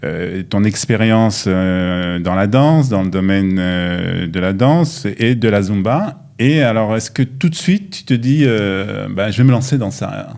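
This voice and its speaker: A man's voice: on the low side, animated, measured pace, creaky, few pauses, a little mellow. A man hosting a casual interview, prompting his guest and finishing her sentences. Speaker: interview host. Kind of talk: conversational interview.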